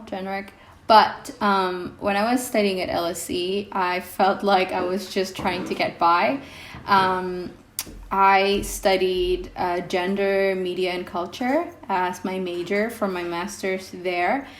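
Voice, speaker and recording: A young woman vlogger whose first language is Indonesian, talking casually to her viewers with many pauses and ums. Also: mid-range voice, steady tone, a little hiss or hum. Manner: hesitant, many ums, reflective. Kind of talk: casual vlog talk to camera. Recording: echoey room with faint music.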